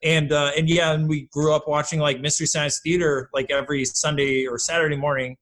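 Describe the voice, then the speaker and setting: A man's voice: a little high, flat delivery, brisk, a bit bright. A man chatting casually as a podcast guest, peppering his talk with "like" and the odd swear. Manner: swears casually, uses "like" heavily. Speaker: podcast guest, audio drama creator. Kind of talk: casual chat.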